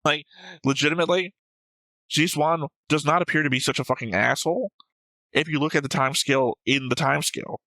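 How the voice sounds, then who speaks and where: A man's voice: a little high, fairly quick, bright, forceful tone, dry recording. Analytical man, casual co-host, dry close sound. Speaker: co-host of anime reaction show. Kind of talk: casual discussion, reading viewer comments.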